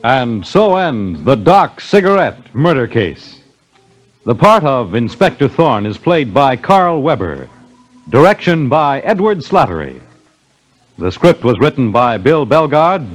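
Casting: male announcer, old-time radio credits, lively varied pitch, faint music underneath. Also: a little slow, rough recording.

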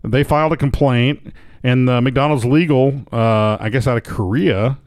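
Halting man reading news aloud. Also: medium pitch, a little mellow, dry recording, studio quality. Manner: halting, stumbles while reading. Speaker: podcast co-host.

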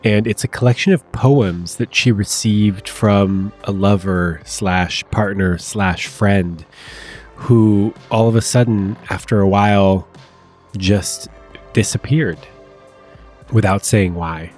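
A man with a fairly low voice speaks very slowly as he hosts and reads love letters aloud. Faint music plays behind him. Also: a little mellow. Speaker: podcast host. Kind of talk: reading love letters and introducing segments.

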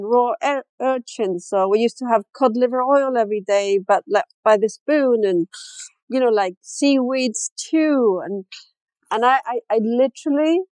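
A woman in her fifties from Chile, a fashion designer as a guest, chatting candidly and with self-deprecating humor in a relaxed interview. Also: fairly high pitch, no room sound, low-quality recording. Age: 50s. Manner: candid, self-deprecating, laughs often, many "you know"s. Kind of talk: casual interview chat.

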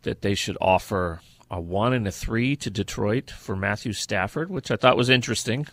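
A man's voice: lowish voice, normal speed, a bit bright, no echo. A man co-hosting a sports podcast, giving blunt, opinionated takes on football in a conversational style.

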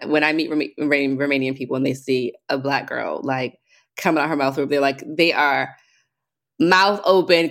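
A young American woman, a hairstylist, chatting as an interview guest, animated and self-assured, laughing often. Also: sits low. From United States. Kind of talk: casual interview chat.

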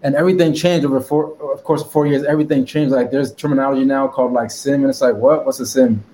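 A man's voice: medium pitch, fairly even intonation, fast talker, warm tone, slight background noise, rough recording. A man from Connecticut, a guest in an interview, talking casually with lots of fillers. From Connecticut, USA. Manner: rambling with many fillers and laughs.

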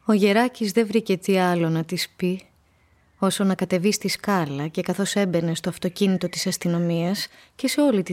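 A woman's voice: mid-range voice, a little mellow. A woman giving scripted literary narration for a radio drama. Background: music.

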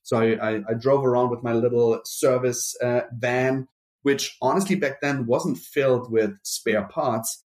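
A man's voice: mid-range voice, fairly even intonation, measured pace, a little mellow. A middle-aged man with a German accent, born in Brazil, speaking casually as an interview guest with many restarts. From Brazil, raised in Germany. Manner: rambling, many restarts and fillers. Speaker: marketing executive guest.

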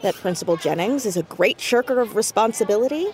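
Woman as a teenage girl, youthful, lively intonation, stubborn, argumentative, small-town audio drama. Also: mid-range voice, fast, a faint crowd, natural voice. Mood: indignant. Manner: emphatic, argumentative. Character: fourteen-year-old girl, nosy, earnest, stubborn small-town student. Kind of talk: naturalistic audio drama acting.

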